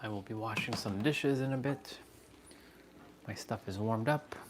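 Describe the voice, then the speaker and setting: A man's voice: lowish voice, a little slow, very noisy. A Canadian-born man hosting a live stream, chatting casually as he cooks and answers viewer questions. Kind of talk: casual live-stream chat while cooking. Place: home kitchen.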